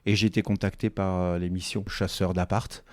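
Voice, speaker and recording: A man's voice: low-pitched, flat delivery, fairly quick, no echo. A man in his forties, a real estate agency founder, chatting in an interview and telling stories with lots of repetition and acted-out dialogue. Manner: chatty, repeats his own phrases, acts out quoted dialogue. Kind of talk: casual interview storytelling.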